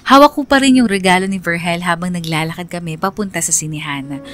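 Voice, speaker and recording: A woman's voice: lowish voice, fast talker, soft background music, natural voice. Earnest, confiding young woman narrator, played by an actress. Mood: guilty, torn. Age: young adult. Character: lovestruck, guilty young woman confessing her story. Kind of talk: first-person radio drama narration.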